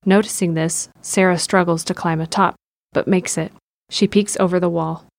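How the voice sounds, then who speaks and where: A woman's voice: on the low side, steady tone, moderate pace, creaky, no echo. A woman reading scripted narration for an audio drama as its narrator. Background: music.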